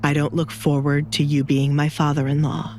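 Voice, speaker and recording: A cold, menacing duke played by a woman in an audio drama. The voice is very low and drawn out, every word deliberate and commanding, with music clearly behind it. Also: completely flat delivery, darkish tone. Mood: cold threat. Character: cold, menacing young duke, calmly threatening man.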